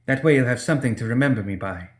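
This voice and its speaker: A man's voice: mid-range voice, lively intonation, racing, close to an everyday voice. A man voicing a young woman fighter, tender but proud, in an audiobook story. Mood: pleading, tender.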